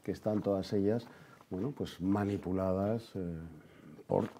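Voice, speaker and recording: A man with a Spanish accent from Spain speaks in a low, very dark and rounded voice. He is the host of a history discussion and gives his opinions freely. His delivery is emphatic and sardonic, with phrases repeated for weight. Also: fairly even intonation, a little slow, a little hiss or hum. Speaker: host and interviewer.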